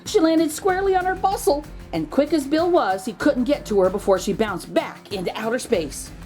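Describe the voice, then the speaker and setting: A woman reading a children's picture book aloud as host, expressive, drawing out words for drama. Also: high-pitched, normal speed, soft background music. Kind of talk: animated storybook read-aloud for children.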